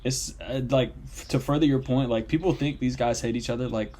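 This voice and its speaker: A man's voice: mid-range voice, steady tone, fairly quick, warm tone. A young man co-hosting a podcast in casual chat, rambling with lots of fillers and trailing off. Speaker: podcast co-host.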